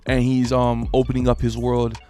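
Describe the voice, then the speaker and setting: Flat-voiced laid-back podcast co-host man. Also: medium pitch, normal speed, soft background music. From Puerto Rico. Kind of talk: casual music chat.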